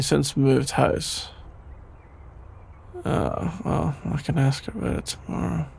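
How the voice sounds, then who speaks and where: Shy, sleepy young male best friend for ASMR audio drama, played by a man: unhurried, gravelly vocal fry, hesitant and easily flustered delivery. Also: medium pitch, a little mellow, full character voice. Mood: deadpan, resigned. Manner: hesitant, halting, trailing off with sighs and nervous chuckles. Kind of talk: intimate ASMR audio roleplay, improvised-sounding dialogue.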